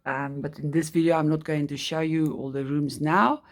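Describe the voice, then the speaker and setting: Middle-aged woman, South African accent, Afrikaans native, casual hobby chat, halting, full of ums. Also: low-pitched, level tone. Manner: hesitant, many ums and restarts. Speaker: hobby vlogger.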